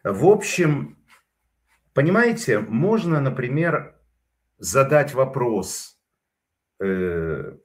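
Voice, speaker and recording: A man's voice: medium pitch, sing-song, very slow, pauses often. A man giving casual spoken commentary, telling stories in a digressive way with frequent hesitations.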